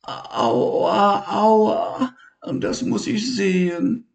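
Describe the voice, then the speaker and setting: A man's voice: high voice, sing-song, drawn-out words, gravelly, pitched above the performer's own voice, full character voice. A weepy, fretful little patchwork elephant, put on by a man reading a storybook, his voice pushed far up above the reader's own. He whimpers and stammers, and the words ring a little in an echoey room. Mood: whimpering.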